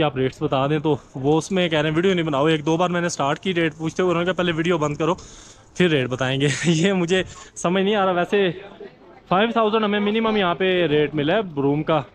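A man with a Pakistani Urdu accent, chatting casually as a travel vlogger walking a snowy street and laughing at his own remarks. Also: on the high side. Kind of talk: casual walking vlog commentary. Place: outdoors on a snowy busy street. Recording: crowded outdoor street noise. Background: crowd chatter.

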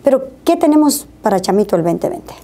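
A woman's voice: on the high side, big pitch swings, fairly quick, mellow. A middle-aged woman, hosting an interview in a TV studio. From Bolivia. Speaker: TV show host. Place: small indoor TV studio.

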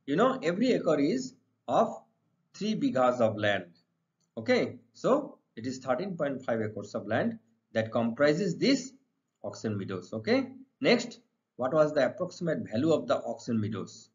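A middle-aged man with an Indian accent teaching an exam lesson, reading out questions and explaining the answers emphatically with repeated phrases. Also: mid-range voice. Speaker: English teacher. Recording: dull lo-fi recording.

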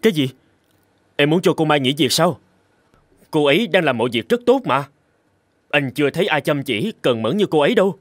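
A man acts as a young husband in a cartoon, disbelieving and reproachful. His voice is fairly high and sounds dry, without room echo. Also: lively intonation, fairly quick, long pauses. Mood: indignant. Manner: questioning, reproachful. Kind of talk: scripted cartoon dialogue.

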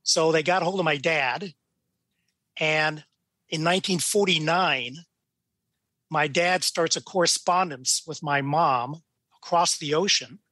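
A man's voice: fairly high pitch, unhurried, pauses often, sharp tone, dry recording. An American man in his sixties from California, a judge telling his story casually as an interview guest. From California, United States. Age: 60s.

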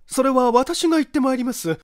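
A man voicing a polite, flustered housewife with an eager, hurried way of talking. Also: extremely high pitch, dry recording, studio quality, pitched above the performer's own voice. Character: polite, flustered neighbouring housewife, mother of small child.

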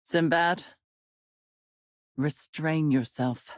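A woman's voice: sits low, drawn-out words, no room sound, clean studio sound. An actress playing a stern, composed woman gang leader in a video game, terse, cold and controlled. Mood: guarded. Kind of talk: video game character acting.

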